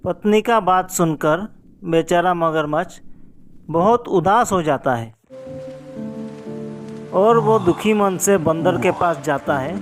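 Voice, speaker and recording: A man's voice: high voice, slow, pauses often. A man narrating a scripted children's story as its storyteller. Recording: music clearly behind the voice. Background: background music.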